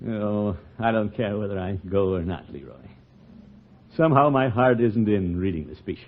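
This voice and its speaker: An actor playing a pompous, blustering middle-aged uncle in a 1940s radio comedy, theatrical and easily flustered. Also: male voice, lowish voice, lively intonation, moderate pace. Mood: sulky, defensive. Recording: muffled 1940s radio recording.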